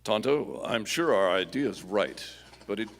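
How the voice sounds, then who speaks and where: An actor playing a steadfast, commanding masked Western hero in a live radio play, with formal, resolute phrasing. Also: male voice, on the low side, expressive, measured pace, gravelly, long reverb tail. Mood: confident.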